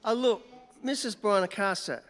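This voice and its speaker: An actor playing a boozy, wheedling New York newspaper reporter in a 1940s radio play, halting and coaxing. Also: medium pitch, fairly bright tone, lightly acted. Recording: echoey hall, music behind.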